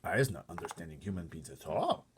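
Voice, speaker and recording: A man voicing a big, gentle, earnest storybook giant who speaks emphatically in muddled grammar and made-up words. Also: sits low, sing-song, fast, a little hiss or hum. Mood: earnest, indignant. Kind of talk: storybook character voice.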